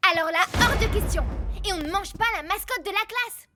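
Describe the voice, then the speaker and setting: A woman's voice: extremely high pitch, brisk, loud music behind the voice. A woman voicing a bossy but caring, organised young schoolgirl in a French cartoon, firm and childlike.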